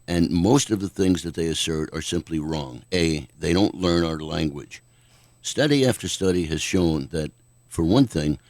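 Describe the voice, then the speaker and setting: An older man, a guest author, answering questions in a measured, thoughtful way on a radio talk show. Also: low voice, darkish tone, dry recording. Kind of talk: radio interview.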